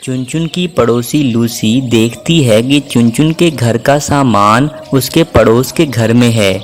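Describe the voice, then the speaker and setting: A man's voice: medium pitch, unhurried. A man narrating a children's story in a scripted storybook style.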